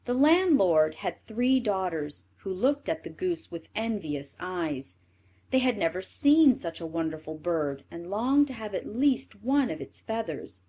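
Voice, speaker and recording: Lively woman storyteller with animated pitch. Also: a little slow, breathy edge. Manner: expressive read-aloud delivery. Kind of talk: scripted fairy tale narration for children.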